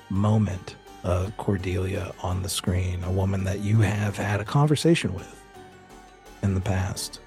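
A man narrating a tabletop horror audio drama as game master, in measured, deliberate phrases. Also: deep voice, darkish tone. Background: music bed.

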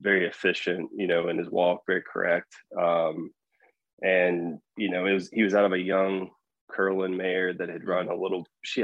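A man with a very low, steady voice answers questions as a podcast guest. He speaks in a relaxed, hesitant way, full of fillers and restarts, with little rise and fall. The sound is dry and close. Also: no echo, muffled recording. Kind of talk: casual interview answers.

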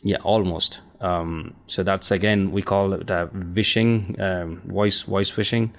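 A man's voice: low voice, fairly even intonation, unhurried. A middle-aged man from India with an Indian accent, chatting as a podcast guest, with many fillers and laughs between points. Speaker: guest, cybersecurity company founder. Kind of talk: casual podcast interview.

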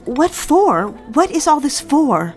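A woman's voice: high voice, sing-song, takes it slowly, a little mellow, faint music behind, exaggerated voice. A woman playing an anxious, proper young woman who is cautious but placating, in an audio drama. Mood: guarded, uneasy.

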